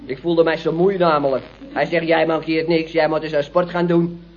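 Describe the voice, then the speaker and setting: Young slacker nephew for radio comedy, male actor: slangy, cheeky, droll, raised pitch, dull noisy vintage sound. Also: level tone, moderate pace, close to an everyday voice. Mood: languid cheek. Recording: muffled, noisy old radio recording.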